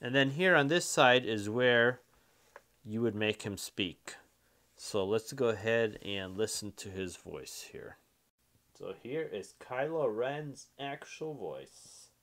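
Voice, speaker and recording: A man's voice: medium pitch, lively intonation, slow pace, a bit bright. A man giving casual, informal unboxing and review commentary as a toy reviewer, easygoing and a bit repetitive.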